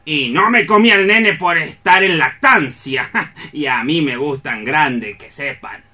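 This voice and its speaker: A man's voice: a little high, slow pace, sharp tone, exaggerated voice. An actor playing a drunken, gluttonous scorpion in radio theatre, gleefully menacing and mocking as he relishes his words. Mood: gleeful, boastful.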